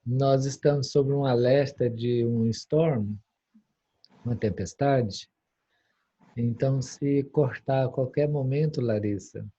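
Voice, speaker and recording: A man with a Brazilian accent hosting informally, halting and hospitable as he introduces and welcomes a speaker. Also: mid-range voice, dark tone, breathy edge. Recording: dull, lo-fi internet call audio.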